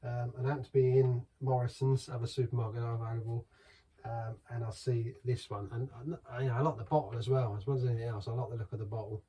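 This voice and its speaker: A man's voice: medium pitch, little pitch movement, quick pace, warm tone, husky. A middle-aged man chatting casually through a beer review, rambling and restarting as he talks. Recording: voice from some distance from the mic.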